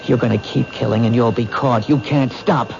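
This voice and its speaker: An actor playing a bold, sharp-witted male police detective in a radio drama, challenging and insistent. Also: mid-range voice, fairly even intonation, normal speed, vocal fry, close to an everyday voice. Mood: goading. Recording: muffled, noisy old radio broadcast.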